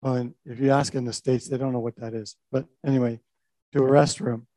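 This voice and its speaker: A man's voice: mid-range voice, little pitch movement, brisk, dark tone, dry recording. An older man, a pastor telling a personal testimony in a reflective, storytelling way. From Canada. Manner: reflective storytelling with small restarts.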